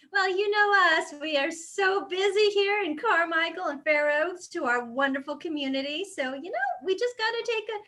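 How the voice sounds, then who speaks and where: A woman's voice: extremely high pitch, expressive, normal speed, bright, forceful tone, full character voice. A woman playing a gushing, effusive local TV talk show personality in an improvised game show parody.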